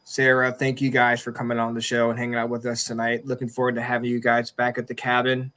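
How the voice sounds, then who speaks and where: A man with an average-pitched voice hosts a podcast. He talks in a loose, casual way, rambling and joking as he goes. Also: monotone, quick pace, pushed and bright. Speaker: podcast host. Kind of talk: casual chat, closing a show.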